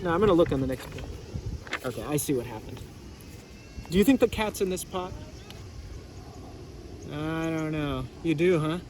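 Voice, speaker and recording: A man's voice: a little high, moderate pace, long pauses, background noise. A man reading a storybook aloud as a teacher, casually chatting and prompting listeners with questions.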